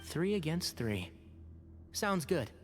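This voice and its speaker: A young adventuring companion talks in a lively, varied voice, casual and frank. The supportive tone is that of a fantasy game, with music underneath. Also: male voice, fairly high pitch, slow. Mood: thoughtful. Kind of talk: video game voice acting.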